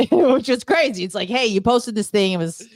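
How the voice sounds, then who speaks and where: A woman's voice: fairly high pitch, a little slow, no room sound, clean studio sound. Blunt, teasing woman dishing gossip. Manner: animated, blunt, teasing, acts out dialogue. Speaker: radio show co-host. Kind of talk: casual chat, gossipy storytelling.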